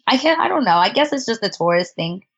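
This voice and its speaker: A woman's voice: a little high, big pitch swings, quick pace, gravelly, dull audio. A woman telling travel stories as a podcast guest in a chatty, casual way, laughing often and restarting her sentences. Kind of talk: casual conversational storytelling.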